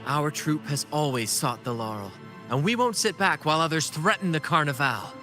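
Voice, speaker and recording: An actor playing a well-spoken young man who leads a travelling troupe in a video game, speaking in a measured, theatrical, articulate way. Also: fairly high pitch, lightly acted. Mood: warm resolve. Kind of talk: scripted video game story dialogue. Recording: game music behind the voice. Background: music.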